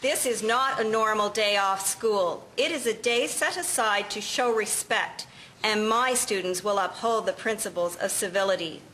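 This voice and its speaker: A woman playing a firm, proper 1950s schoolteacher in an amateur audio drama, speaking in a measured, formal way. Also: medium pitch, sharp tone, a little hiss or hum, close to an everyday voice, low-quality recording. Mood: stern. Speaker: actor in an amateur history drama.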